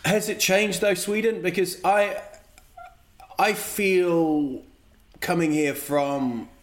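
A man, a comedian from England or New Zealand, chatting casually, halting and searching for his words. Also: high-pitched, fairly even intonation. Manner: halting, searching for words, frequent agreeing interjections. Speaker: comedian co-host. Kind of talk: casual chat. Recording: roomy echo.

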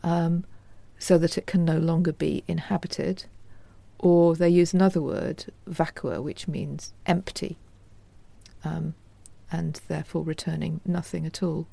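Measured woman historian, low creaky voice. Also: level tone, mellow, dry recording. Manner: measured, scholarly. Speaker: guest historian. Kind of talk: explanatory interview talk.

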